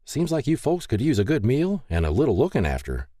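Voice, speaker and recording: A man's voice: medium pitch, wildly swooping pitch, fairly quick, warm tone, no echo, studio quality, voice pushed up. A man voicing a kindly, candid older small-town wife in an audiobook. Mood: friendly, coaxing. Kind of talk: audiobook character dialogue.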